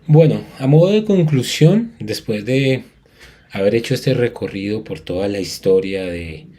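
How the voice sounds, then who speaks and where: Man from Colombia, conference lecture, low mellow voice, earnest and emphatic, restarts often. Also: expressive, unhurried. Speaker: lecturer and music educator. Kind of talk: academic conference talk.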